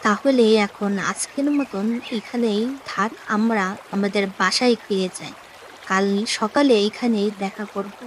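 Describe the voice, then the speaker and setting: Lady doing a careful thoughtful cartoon bird, mid pitch, faint water sound behind. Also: unhurried, slight background noise, close to an everyday voice. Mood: practical. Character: cautious, thoughtful myna bird friend. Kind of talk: children's cartoon voice acting.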